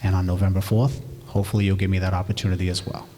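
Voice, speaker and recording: A man's voice: low voice, flat delivery, very fast, dark tone. A man, a single father and mayoral candidate, making an earnest forum speech with repetitive appeals. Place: community forum hall.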